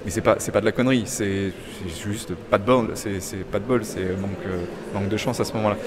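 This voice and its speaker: Low-voiced man reasoning things through on the street. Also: steady tone, fairly quick. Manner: reflective, explaining at length. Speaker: passer-by. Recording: urban traffic noise.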